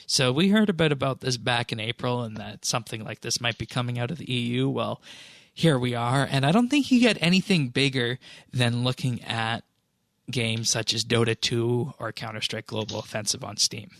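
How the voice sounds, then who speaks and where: Bright, creaky-voiced male podcast host. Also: medium pitch, expressive, normal speed, no echo, studio quality. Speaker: podcast host presenting gaming news. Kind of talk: casual news rundown and chat.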